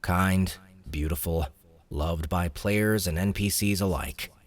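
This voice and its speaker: A male narrator voicing a scheming young man who plays a game villain, speaking in a calm, low, calculating way. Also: slow. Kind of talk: audiobook character voice.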